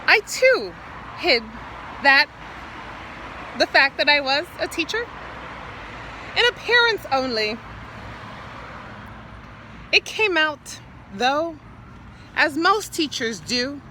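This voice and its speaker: A woman poet performing an improvised spoken-word monologue outdoors, theatrically, in short halting phrases with repeated words. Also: sits high, big pitch swings, bright, forceful tone, slight background noise, low-quality recording. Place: outdoors, standing or walking.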